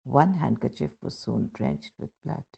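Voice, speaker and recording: Male narrator, Indian accent, for devotional reading. Very creaky, lively pitch movement, lo-fi sound. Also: unhurried. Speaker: reader of devotional testimony. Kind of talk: scripted narration, read aloud. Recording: dull, muffled lo-fi recording.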